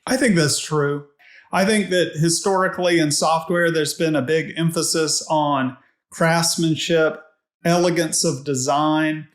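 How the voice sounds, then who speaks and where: A man giving measured, reflective and analytical answers as a podcast guest, recorded in an echoey room. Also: fairly high pitch, fairly even intonation. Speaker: podcast guest, technology executive. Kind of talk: conversational interview answers. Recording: echoey, reverberant room sound.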